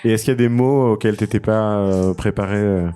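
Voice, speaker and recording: Man, podcast co-host, low mellow voice, casual banter, music behind. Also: steady tone, a little fast, smooth.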